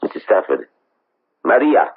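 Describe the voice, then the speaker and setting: A dogged, formal Nazi hunter from a vintage radio play. His voice sits extremely low and husky, with animated swings in pitch as he coolly probes and insists. It all comes through a thin, tinny radio recording. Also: exaggerated voice. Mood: pressing, insistent. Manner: formal, deliberate, probing. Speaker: investigator seeking a war criminal's extradition. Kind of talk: 1970s radio drama acting. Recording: tinny, band-limited old radio broadcast.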